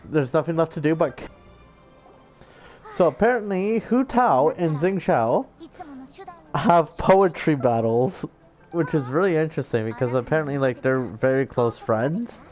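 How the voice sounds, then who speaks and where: Female streamer, low unhurried voice, casual and foul-mouthed, darkly irreverent, with game music underneath. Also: lively intonation. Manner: crude, irreverent dark humour, giggly. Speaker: gamer, streamer. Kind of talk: casual gameplay commentary, profane.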